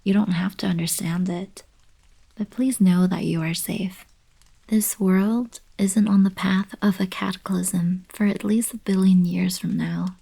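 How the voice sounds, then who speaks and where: A woman playing a gentle, regal young alien princess in an intimate ASMR roleplay, soft-spoken and half-whispering, a little hesitant and shy. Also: mid-range voice, fairly even intonation, unhurried, gravelly, slightly rough. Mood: gentle, sorrowful.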